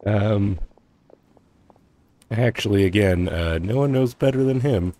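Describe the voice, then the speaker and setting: A man hosting a video game playthrough with soft, casual commentary. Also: lowish voice, expressive, slow pace, slight background noise. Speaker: let's play host.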